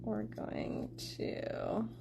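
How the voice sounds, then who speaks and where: A woman's voice: drawn-out words, over music. A woman hosting a casual card-making tutorial, chatting to her viewers while she cuts, a little congested from a sore throat. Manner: chatty, talks straight to viewers.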